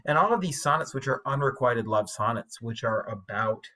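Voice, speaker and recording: A man giving an informal English lecture, explaining things in a conversational, colloquial way like a high school teacher. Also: lively intonation, normal speed, pushed and bright.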